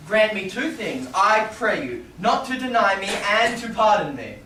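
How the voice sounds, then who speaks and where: A man playing an earnest, grateful young gentleman in a Shakespearean stage reading. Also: sits high, very animated, a little slow, exaggerated voice. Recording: distant echoey small theatre, background noise.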